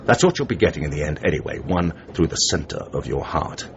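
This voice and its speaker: An actor playing a smug Soviet assassin who poses as a chummy English gentleman, taunting in clipped, mock-genteel slang in a radio drama. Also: a bass voice, animated, a little fast. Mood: coolly menacing. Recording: noisy, muffled radio broadcast recording.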